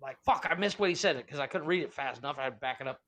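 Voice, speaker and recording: A man's voice: on the high side, animated, very fast, fairly bright tone. A man co-hosting a podcast, chatting loosely, laughing and swearing freely; his first language is Spanish. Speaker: podcast co-host. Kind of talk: casual profane banter.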